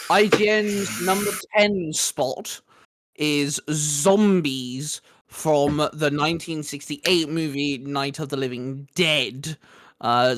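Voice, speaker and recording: A man's voice: fairly high pitch, a bit bright, a little hiss or hum. A man co-hosting a casual podcast, steering the discussion and reading out a list. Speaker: co-host leading the questions.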